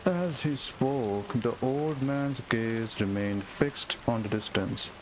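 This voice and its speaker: A man's voice: mid-range voice, slow, background noise. A man doing casual gaming commentary, reacting with short questioning asides as he plays. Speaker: gaming streamer. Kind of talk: casual game playthrough commentary. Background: game music and rain sounds.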